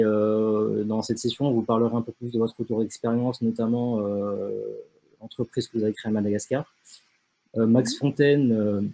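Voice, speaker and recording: A young man hosting and moderating an online panel, introducing the guests in a halting way with frequent fillers. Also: mid-range voice, mellow, rough recording. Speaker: host, moderator.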